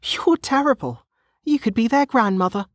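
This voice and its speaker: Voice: mid-range voice, lively intonation, moderate pace, dry recording, studio quality, pitched above the performer's own voice, natural voice. A narrator voicing a flustered, indignant young woman who protests bluntly, in an audiobook. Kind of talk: audiobook character dialogue.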